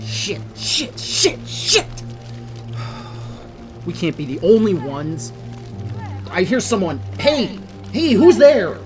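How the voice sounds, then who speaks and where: A man's voice: high voice, very animated, slow pace, pauses often, music in the background, exaggerated voice. A man playing a rattled, argumentative teenage boy in an audio drama, exasperated and sweary. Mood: panicked.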